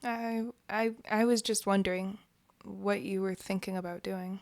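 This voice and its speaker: Audio drama: actress as anxious, self-doubting woman, fry-laced mid voice, hesitant, subdued. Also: mid-range voice, fairly even intonation, measured pace, no room sound, lightly acted. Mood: worried. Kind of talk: naturalistic audio drama dialogue.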